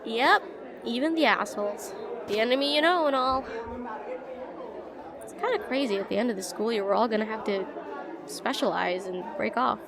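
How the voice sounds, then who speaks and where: A woman's voice: on the high side, normal speed, gravelly, long pauses. A woman playing a shy, rambling young witch student in a slice-of-life fantasy audio roleplay, chatty, hesitant and self-deprecating. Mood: warm, chatty. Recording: voice over busy classroom crowd ambience.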